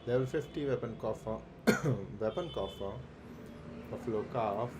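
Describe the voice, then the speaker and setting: A man streaming a game, reading the on-screen dialogue aloud slowly and plainly, with stumbles and restarts. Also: fairly low pitch, background noise.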